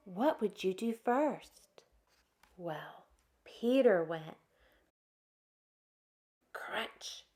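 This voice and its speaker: Lady doing storytime for little kids, super expressive up and down voice, takes it slow, stretches words out. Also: on the high side. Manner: expressive, drawn-out words, asks listeners questions. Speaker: children's storytime reader. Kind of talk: animated read-aloud for young children.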